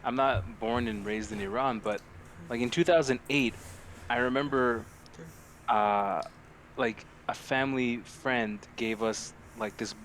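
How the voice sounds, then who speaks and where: A man chats as a podcast co-host in a medium-pitched voice. He talks informally in loose anecdotes with some swearing, over faint background noise. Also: unhurried, a bit bright. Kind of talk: casual podcast chat.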